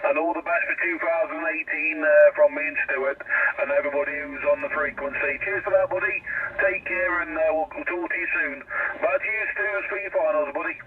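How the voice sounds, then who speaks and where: A man's voice: fairly high pitch, a little fast. A man with an English East Midlands accent chatting informally and matily over a CB radio transmission. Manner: matey, informal. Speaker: radio net regular, works as a driver. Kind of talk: casual radio chat. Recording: very noisy, tinny, distant CB radio.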